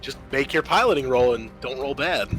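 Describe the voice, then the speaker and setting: A man's voice: medium pitch, animated, moderate pace, loud music behind the voice. A man acting as game master, narrating a tabletop roleplaying game in a conversational way.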